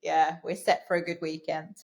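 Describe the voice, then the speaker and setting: A woman's voice: low-pitched, little pitch movement, normal speed, vocal fry. A woman co-hosting a podcast, opening with light and friendly small talk. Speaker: podcast co-host. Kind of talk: casual chat.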